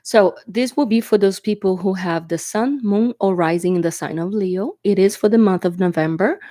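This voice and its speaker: A woman's voice: on the low side, darkish tone, no room sound, studio quality. Congested Portuguese-native woman reading tarot. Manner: chatty, reassuring, often asks "okay?". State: congested from allergies or a cold, coughing. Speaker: tarot reader. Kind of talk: casual tarot reading to camera. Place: indoors at a table.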